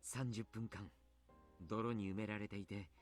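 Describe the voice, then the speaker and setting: A man playing a grave, polite doctor and coach in a Japanese visual novel, speaking deliberately. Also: fairly low pitch, steady tone, soft background music, natural voice. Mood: grave, clinical.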